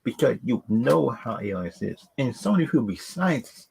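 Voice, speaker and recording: A man's voice: medium pitch, very animated, moderate pace, gravelly, a little mellow, a little hiss or hum. A man giving casual, unscripted opinions as a video host, rambling and often repeating words and stock phrases. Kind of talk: casual unscripted opinion talk on films and games.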